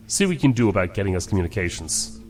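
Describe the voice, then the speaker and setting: A space captain, low voice w lots of inflection, calm n in charge, audio drama. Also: male voice, faint music behind. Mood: grave, controlled. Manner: authoritative, firm, measured. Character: calm, commanding male starship captain. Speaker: voice actor.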